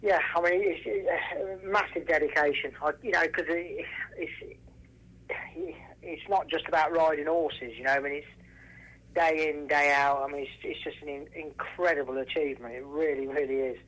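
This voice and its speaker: Male interview guest on a phone line, slightly high voice, casual, halting, repeats for emphasis. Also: steady tone, a bit bright. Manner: halting, restarts often, emphatic repetition. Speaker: racehorse trainer and former jockey, interview guest. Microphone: telephone. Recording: telephone line, radio-style call.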